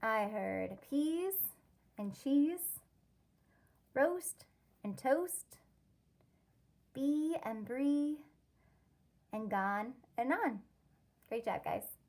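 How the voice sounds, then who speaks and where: Female primary-style reader for rhyming picture book: high-pitched, unhurried, deliberate teacherly delivery. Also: lively intonation, vocal fry, darkish tone. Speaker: teacher reading a storybook aloud. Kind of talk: expressive children's read-aloud of a rhyming story.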